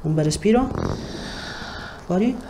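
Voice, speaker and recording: A man in his thirties working as a chiropractor, giving short, calm instructions to a patient in a treatment room. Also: high-pitched, unhurried, echoey room, background noise. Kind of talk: hands-on treatment talk with a patient.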